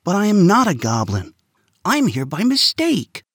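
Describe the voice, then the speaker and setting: A man's voice: on the low side, wildly swooping pitch, unhurried, breathy edge, no room sound, clean studio sound, heavily acted. A man voicing a bold, earnest young boy in a children's story, raising his voice to sound young and insistent. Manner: raised and insistent, confident.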